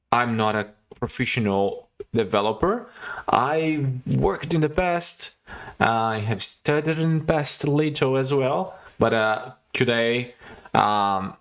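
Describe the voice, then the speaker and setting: A man's voice: medium pitch, animated, slow, clean tone. A young man from Brazil with a Brazilian Portuguese accent, casually walking through a coding tutorial with frequent fillers and restarts. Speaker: tutorial host.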